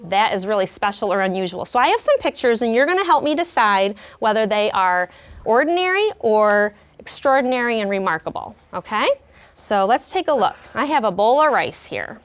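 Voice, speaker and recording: A woman's voice: on the high side, big pitch swings, moderate pace. A woman who teaches elementary school, explaining words and lessons in simple, child-friendly terms. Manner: clear, child-friendly explanations with questions to pupils. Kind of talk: reading aloud and teaching young children, explaining her method.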